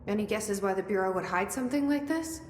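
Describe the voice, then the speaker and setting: A woman's voice: mid-range voice, animated, fast, lightly acted. A woman playing a wry, no-nonsense agency leader in a video game cutscene, speaking dryly and directly. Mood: dry, probing. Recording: echoey hall, long reverb, background noise.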